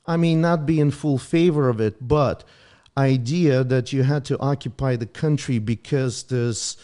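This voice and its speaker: A man's voice: medium pitch, unhurried, mellow. A man in his fifties from Ukraine, a native Russian speaker, explaining his background as a podcast guest. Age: 50s. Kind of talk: podcast interview.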